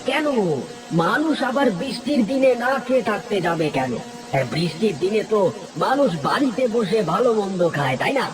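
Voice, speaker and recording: A man's voice: sits high, sing-song, fairly quick. Man acting a whining lazy village husband, noisy recording. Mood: grumbling. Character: lazy, grumbling village husband who loves lounging. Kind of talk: animated fairy-tale cartoon dialogue.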